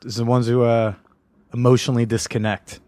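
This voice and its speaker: A man's voice: medium pitch, flat delivery, brisk, mellow, no room sound, studio quality. A man hosting a podcast, reading an ad and asking short casual questions. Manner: short questions and back-channel replies. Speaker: podcast host. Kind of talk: casual interview chat.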